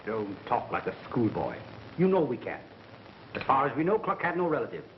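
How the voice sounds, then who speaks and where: A man's voice: medium pitch, very animated, brisk, muffled recording, slight background noise, natural voice. A man acting a hard-nosed, level-headed associate of a boss, blunt and urgent, in a 1930s film style on an old soundtrack. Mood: stern warning.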